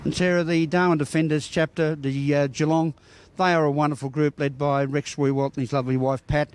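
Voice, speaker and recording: A man's voice: fairly high pitch, flat delivery, a little fast, darkish tone, clear, resonant tone. An Australian man working as a news presenter, interviewing and introducing reports.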